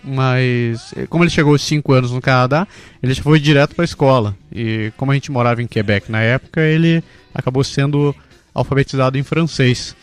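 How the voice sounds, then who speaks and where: A man's voice: mid-range voice, a little fast, clean tone, soft background music. A Brazilian man hosting a casual podcast, telling his own story and passing questions to his co-hosts. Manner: friendly, leads the talk with questions. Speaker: podcast host.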